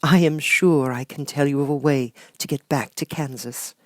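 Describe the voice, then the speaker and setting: A man voicing a kindly young good witch in a storybook, gentle, gracious and measured. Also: fairly high pitch, fairly even intonation, dry recording, clean studio sound, voice pushed up, close to an everyday voice.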